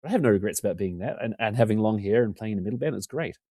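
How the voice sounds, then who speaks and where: A middle-aged New man talks fast and keeps rambling on, poking fun at himself. His voice sits low and mellow, with a dark, relaxed tone. It sounds dry and close, as on a remote call mic at home. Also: no room sound. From New Zealand. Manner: talkative, rambling, self-deprecating. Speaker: podcast guest. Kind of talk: casual conversation. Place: home room.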